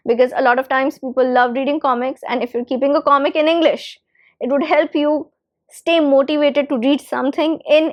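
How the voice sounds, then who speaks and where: A woman whose first language is Hindi, giving an English lesson with emphatic repetition of key phrases. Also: high voice. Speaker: English teacher. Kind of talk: instructional talk to camera.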